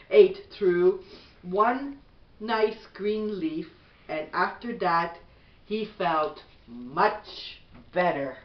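Middle-aged woman reading slowly, echoey room. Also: lowish voice. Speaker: mother reading a bedtime story. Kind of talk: slow storybook read-aloud. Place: small bedroom at home, indoors. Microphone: camera a few feet away. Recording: dull, echoey, distant camera mic.

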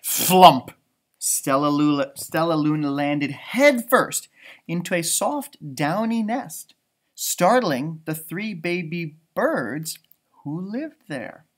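Male storyteller for young children, thirties, high-pitched for a man, lively swooping intonation, playful asides. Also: slow pace. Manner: expressive, playful, asks listeners questions. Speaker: elementary school storybook reader. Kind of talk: animated children's read-aloud with asides. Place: indoors, school library.

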